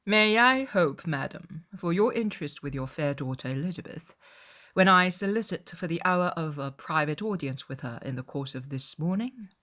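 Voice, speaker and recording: A woman's voice: low-pitched, animated, normal speed, fairly bright tone. A woman voicing a pompous, self-satisfied young clergyman suitor with ceremonious, long-winded speech in a period audiobook. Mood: solemn, courtly. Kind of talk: dramatized period audiobook character acting.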